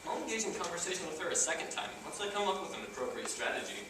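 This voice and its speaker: A man's voice: a little high, sing-song, very fast. Man playing a stiff, pedantic geek, echoey stage. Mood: defensive. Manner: stiff, formal, stammering wording. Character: awkward, pedantic young male geek proud of his intellect. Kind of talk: live amateur stage comedy acting. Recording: echoey theater from far off, noisy.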